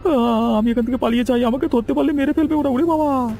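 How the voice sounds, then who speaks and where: A man's voice: very high voice, fairly even intonation, fast, noisy background. A man playing a nervous, honest villager muttering to himself in a horror cartoon. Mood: alarmed. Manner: talks to self, jittery. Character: nervous, honest villager, easily spooked eavesdropper. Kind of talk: cartoon horror drama acting.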